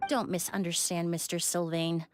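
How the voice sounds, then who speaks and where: An actress playing a poised, shrewd young female perfumer in a video game, speaking in a polite, composed and deliberate manner. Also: lowish voice, flat delivery, music in the background, close to an everyday voice. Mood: firm, polite. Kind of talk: video game character acting.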